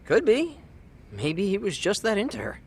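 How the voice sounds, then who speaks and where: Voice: mid-range voice, wildly swooping pitch, normal speed, a little mellow, slight background noise. An actor playing a cheeky but earnest teenage boy in a video game dub, talking in offhand, youthful slang. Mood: subdued, matter-of-fact.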